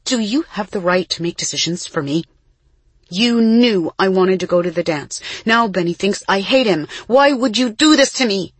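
A woman's voice: on the low side, animated, brisk, hardly any pauses, husky, no echo. A woman voicing a furious, hurt girl in an audiobook, accusing her sister with emphatic force. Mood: angry, hurt. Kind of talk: audiobook character acting.

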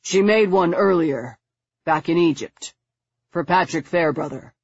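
A woman narrator plays an earnest boy in an audiobook, exasperated and loyal. The voice is husky and emphatic with grim resolve, in a slightly muffled recording. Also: lowish voice, measured pace, darkish tone, no echo.

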